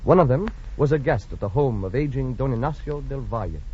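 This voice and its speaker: A man's voice: medium pitch, lively intonation, fairly quick, breathy edge. A man narrating a scripted 1940s radio drama in a dramatic, grandiose, solemn manner. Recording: muffled old radio recording with music.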